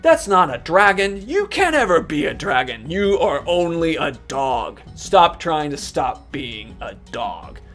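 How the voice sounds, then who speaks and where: A storyteller voicing a pack of farm dogs that mock and jeer in high, loud, scornful voices. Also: very animated, a little slow, few pauses, soft background music. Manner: jeering, scornful.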